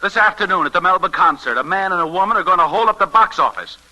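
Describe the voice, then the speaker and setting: An actor playing an eager, flirtatious newspaper reporter in 1940s radio style, brisk and persistent. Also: male voice, high voice, expressive, pushed and bright, full character voice. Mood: urgent. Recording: noisy vintage 1940s radio recording.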